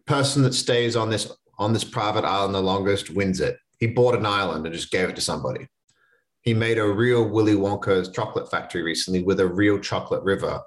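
Casual low-voiced young man. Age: 20s. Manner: casual, earnest, conversational with restarts. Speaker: podcast host. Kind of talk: solo motivational podcast talk.

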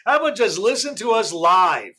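A man's voice: high voice, big pitch swings, moderate pace, breathy edge. A man hosting a live podcast in a casual, chatty way, saying he has a big voice. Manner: chatty, many fillers, loud self-described big voice. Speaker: host.

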